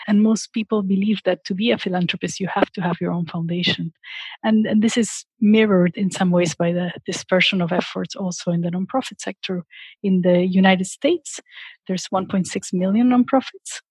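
Middle-aged Peruvian woman, husky, close dry sound. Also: medium pitch, fairly even intonation, normal speed, a little mellow, dry recording, rough recording. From Peru. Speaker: interview guest, philanthropy expert. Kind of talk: reflective interview answers.

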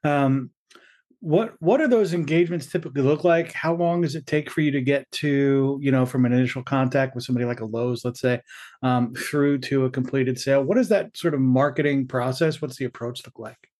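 A man hosting a podcast interview, asking measured questions with frequent "um" and "uh". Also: mid-range voice, darkish tone. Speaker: podcast host.

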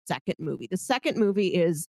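A woman co-hosting a podcast in a casual film discussion, chatty and opinionated in an animated way. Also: on the low side, fairly quick, no room sound, clean studio sound. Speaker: podcast co-host.

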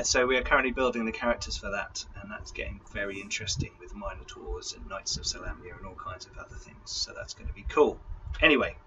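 Guy game master doing casual stream announcements, sharp bright voice, slightly muffled sound. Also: fairly low pitch, slight background noise. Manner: informal, chatty, self-correcting.

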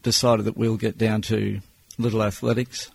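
Gravelly, husky older Australian man. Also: lowish voice, little pitch movement. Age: 60s. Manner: plain-spoken, measured. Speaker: business owner guest. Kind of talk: interview answers.

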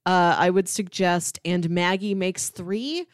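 A woman's voice: lowish voice, takes it slowly, clear, resonant tone, no echo, studio quality. A woman co-hosting a casual podcast, explaining things informally with many asides. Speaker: podcast co-host. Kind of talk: casual chat about sitcom episodes.

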